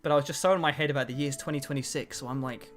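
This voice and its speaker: A man's voice: medium pitch, fairly even intonation, rapid-fire, a bit bright, soft background music. Chatty self-mocking man in his twenties. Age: 20s. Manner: chatty, self-mocking, answers chat between tasks. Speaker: streamer. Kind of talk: casual livestream chat.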